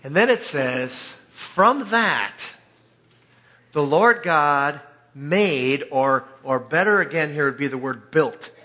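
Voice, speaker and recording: A man preaching in an informal, conversational way, telling scripture like an animated storyteller with joking asides. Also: on the high side, takes it slowly. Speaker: preacher or Bible teacher.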